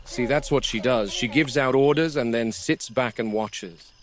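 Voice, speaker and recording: A man playing a grim, wary game warden who narrates a found-footage horror field log in a measured, grave, deliberate manner. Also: mid-range voice, lightly acted. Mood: watchful, absorbed. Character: grim, wary male game warden logging predator behavior. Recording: noisy VHS-style found-footage recording.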